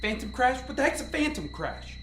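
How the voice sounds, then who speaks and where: A woman's voice: sits high, very animated, brisk, a bit bright, a little hiss or hum. A woman playing the commanding, easily exasperated leader of a scientific team in a radio drama. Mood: angry, exasperated. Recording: roomy echo, faint music bed.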